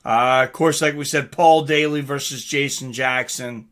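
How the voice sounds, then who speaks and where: A man's voice: mid-range voice, steady tone, measured pace, fairly bright tone. A middle-aged man hosting a live stream, chatting casually with viewers and commenting on fights in a rambling way. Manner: rambling, reading out and answering chat comments. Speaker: livestream host and fight commentator.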